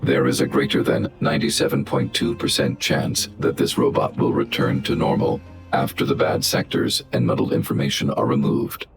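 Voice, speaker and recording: An extremely low, gravel-edged robot guardian for a video game. The delivery is formal, calm and emotionless, like computed reasoning read out in measured phrases. Music plays clearly behind the voice. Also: male voice. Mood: flat, analytical. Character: calm, logical robot guardian, large and fatherly. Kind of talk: scripted video game voice acting.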